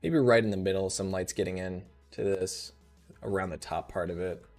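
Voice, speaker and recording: A man in his thirties hosting a live software tutorial, thinking aloud in a relaxed way, his voice a little rough. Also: sits low, moderate pace, soft background music. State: slightly rough voice. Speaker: host.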